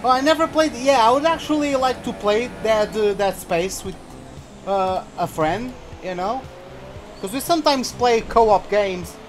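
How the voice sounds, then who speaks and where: High-voiced young man from Portugal. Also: measured pace, background noise. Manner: loose, rambling, swears when startled. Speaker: gaming channel host. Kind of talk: casual commentary while racing. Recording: game music playing behind.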